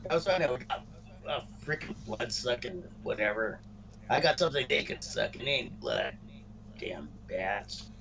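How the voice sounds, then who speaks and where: A man co-hosting a casual wrestling storyline chat, speaking haltingly and often repeating words as he restarts phrases. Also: medium pitch, lively intonation, gravelly. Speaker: co-host of a wrestling podcast. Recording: muffled, noisy room recording.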